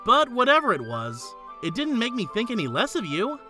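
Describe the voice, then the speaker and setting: Woman as bright, animated student narrator. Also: a little high, normal speed, faint music behind, full character voice. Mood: earnest, reassuring. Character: earnest, kindly high school student narrator. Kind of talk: first-person scripted narration, fan dub acting.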